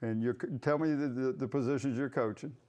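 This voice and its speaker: A man's voice: medium pitch, fairly even intonation, fairly quick, a little mellow. A man hosting a conversational local sports interview, feeling his way through questions with repeated words. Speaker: sports talk show host.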